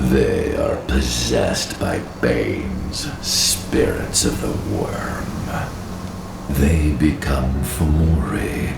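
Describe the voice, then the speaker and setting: An actor playing an ancient, solemn guardian spirit of the forest in a video game, gravelly and archaic in speech. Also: male voice, noisy background, full character voice. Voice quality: very deep, gravelly. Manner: slow, solemn, archaic pronouncements. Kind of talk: video game cutscene acting. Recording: reverberant, distant, under loud music.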